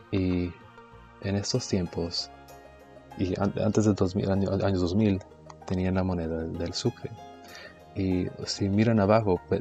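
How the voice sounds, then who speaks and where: Hesitant low-voiced man teaching history. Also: dark tone. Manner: hesitant, halting, frequent restarts and self-corrections. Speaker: presenter of an educational video series. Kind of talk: informal history lesson. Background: faint background music.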